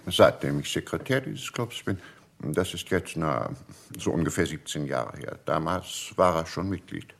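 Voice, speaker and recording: A man playing a gentlemanly, assured middle-aged major and club secretary in a German radio crime drama, speaking emphatically and deliberately. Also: rumbling low, animated, gravelly, exaggerated voice. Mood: confident, chatty.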